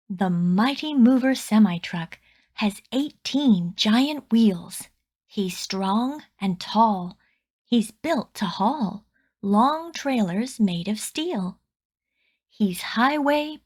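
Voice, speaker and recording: A woman reading a rhyming children's picture book aloud with deliberate, expressive storytelling phrasing. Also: medium pitch.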